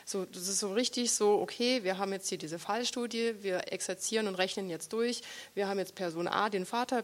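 A woman interviewing an author at a book reading, talking thoughtfully and pausing often to search for words. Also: mid-range voice. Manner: reflective, searching for words with many pauses.

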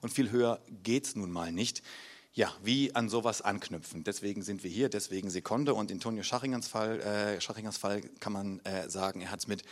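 A man's voice: fairly low pitch, moderate pace. A German man hosting a literary reading in a city library, chatty and hesitant with fillers. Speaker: event host. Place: city library event room.

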